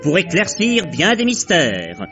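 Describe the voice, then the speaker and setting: A man's voice: high-pitched, wildly swooping pitch, slow. A man reading scripted chapter narration in the style of a mid-century French radio mystery. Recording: dull vintage radio recording. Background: music.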